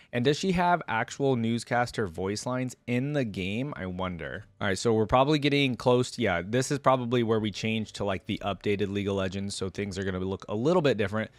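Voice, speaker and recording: A man's voice: fairly low pitch, no room sound. A man giving casual, informal reaction commentary with gamer slang, hosting for viewers. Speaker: host reacting to game content.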